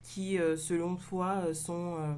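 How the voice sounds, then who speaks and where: A woman hosts an interview, asking measured questions and filling pauses with short affirmations. Her voice sits on the lower side for a woman. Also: level tone, darkish tone, slight background noise. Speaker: interview host.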